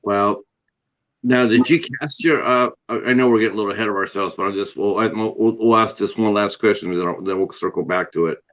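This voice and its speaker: A man's voice: lowish voice, brisk, a bit bright, muffled recording. A man hosting an interview, asking long, rambling questions with eager enthusiasm. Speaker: interview host. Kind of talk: casual interview chat.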